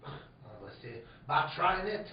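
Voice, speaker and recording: A man's voice: a little high, animated, unhurried, slight background noise. A man playing a pompous, melodramatic French police inspector who is easily outraged, in a comedic live radio play. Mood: evasive. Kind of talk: comedic live radio mystery with goofy accents. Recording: very echoey, dull, distant live stage.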